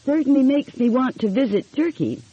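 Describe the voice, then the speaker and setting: A woman's voice: high-pitched, animated, fairly quick, breathy edge, no echo, lo-fi sound, voice pushed up. A woman voicing an eager, polite young female detective in an audiobook mystery. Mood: enthusiastic.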